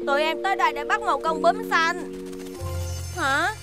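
An actor playing a curious, easily amazed young child in an animated children's cartoon dub, full of wide-eyed exclamations. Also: very high voice, moderate pace, over loud music. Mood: excited.

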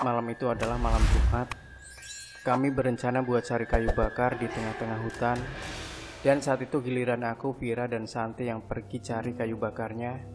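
A male narrator reads a first-person horror tale in a scripted, evenly paced style. His pitch barely moves, staying in a medium register. Clear background music plays beneath his voice. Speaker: story narrator.

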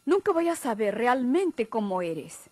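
Bossy sweetheart, Mexican: animated, husky, emphatic, proud, classic radio drama acting. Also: female voice, a little high, measured pace, no room sound. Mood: reproachful. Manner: emphatic, commanding, reproachful. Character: bossy, encouraging, proud sweetheart of a timid young man.

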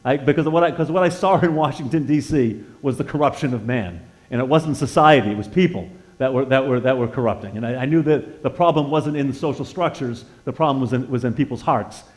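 An American man in his sixties giving an informal sermon with personal testimony as a guest preacher in a church, conversational and halting, repeating words as he feels his way and putting questions to his listeners. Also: medium pitch, darkish tone. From northeastern United States. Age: 60s. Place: church sanctuary, indoors, at a lectern.

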